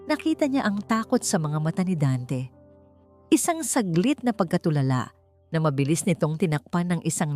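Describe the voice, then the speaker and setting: Low-pitched Filipino woman narrating over music. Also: dark tone, dry recording. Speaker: audiobook narrator. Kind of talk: dramatic scripted story narration. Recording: music behind the voice.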